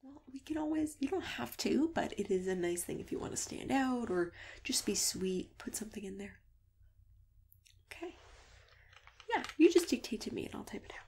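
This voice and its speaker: Woman, high soft voice, breathy near-whisper, gentle reassuring ASMR roleplay, background noise with keyboard clicks. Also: lively intonation. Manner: gentle, reassuring, unhurried customer-service patter. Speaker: ASMR roleplay performer playing a friendly matchmaker.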